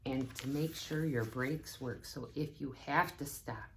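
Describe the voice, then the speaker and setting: A woman with a low voice leads story time for young children. She reads at an unhurried, slow pace and adds simple, instructive explanations. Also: a little hiss or hum, rough recording. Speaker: teaching assistant reading story time. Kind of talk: children's storybook read-aloud with explanations to kids.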